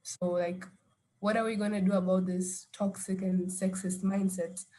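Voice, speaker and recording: A woman with a Tanzanian accent giving an informal talk in a hesitant, halting way. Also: medium pitch, level tone, dark tone, low-quality recording. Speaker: speaker at an online women's gathering.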